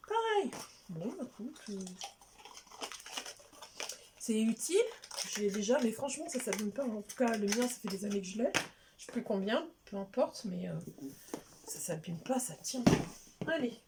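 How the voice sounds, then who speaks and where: A woman's voice: on the high side, sing-song, normal speed, long pauses, background noise. A woman chatting casually as she unboxes beauty products, rambling and interrupting herself, sometimes dropping to a near-whisper. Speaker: beauty vlogger.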